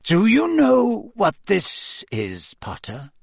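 A man voicing a menacing, vindictive male potions teacher in an audiobook, with hissing, drawn-out, cutting threats. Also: sits high, huge pitch swings, vocal fry, sharp tone, husky, dry recording, studio quality, voice pushed up, heavily acted. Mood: vicious threatening.

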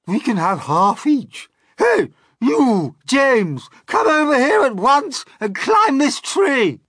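A man's voice: very high voice, sing-song, slow, a bit bright, no room sound, studio quality, pitched above the performer's own voice, heavily acted. A man voicing a greedy, scoffing, bossy middle-aged aunt who blusters and exclaims in a children's storybook. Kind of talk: dramatic children's storybook character reading.

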